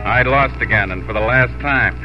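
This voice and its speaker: A man's voice: medium pitch, moderate pace, close to an everyday voice. An actor playing a steadfast, lovelorn young Texan rancher who narrates his story in a 1950s radio drama. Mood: brooding. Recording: muffled old 1950s radio, music behind.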